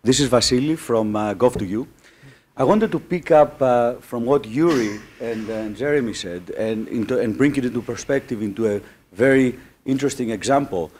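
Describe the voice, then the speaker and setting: A man from Greece making an audience comment at a conference, halting with many uhs and restarts. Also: mid-range voice, darkish tone, a little hiss or hum. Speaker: audience member.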